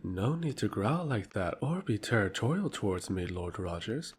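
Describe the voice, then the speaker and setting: A man's voice: fairly low pitch, sing-song, normal speed, slight background noise. A man playing a smug, scheming aristocrat in a fantasy audio drama, pompous and mockingly courteous. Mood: smug, silky. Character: smug, scheming aristocratic male rival lord, honey-tongued.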